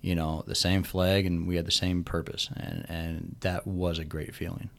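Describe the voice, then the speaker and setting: A man's voice: low voice, level tone, normal speed, creaky. A man in his thirties, a military veteran, talking casually and emphatically, often repeating words as he thinks, his voice still worn from recently losing it. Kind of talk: casual podcast conversation.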